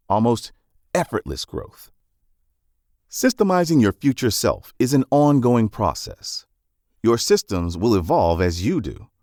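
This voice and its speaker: A man reading scripted nonfiction narration in a measured, instructive manner, as for an audiobook summary. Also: fairly low pitch, dry recording, studio quality.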